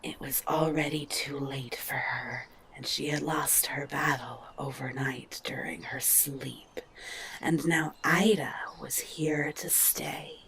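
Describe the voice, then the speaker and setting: A woman narrating a scripted horror drama in a breathy, whispery, gravelly voice. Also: extremely low pitch, steady tone, slow pace, fairly bright tone, slight background noise, poor audio.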